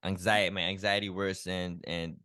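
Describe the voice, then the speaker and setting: A man's voice: low-pitched, level tone, moderate pace, sharp tone, clean tone, dry recording, clean studio sound. A man from the Philippines, a health coach telling his own story as a guest in a podcast interview, earnest and reflective, with many "you know" fillers.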